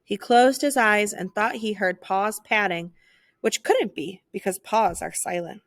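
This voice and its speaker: Expressive woman reading a children's story. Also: medium pitch, unhurried, dry recording, studio quality. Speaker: storyteller reading a picture book aloud.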